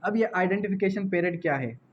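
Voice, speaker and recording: High-pitched Pakistani man lecturing. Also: quick pace, dull audio, a little hiss or hum. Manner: didactic, repeats key phrases and asks rhetorical questions. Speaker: law tutor. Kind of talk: exam-prep lecture mixing Urdu with English legal terms.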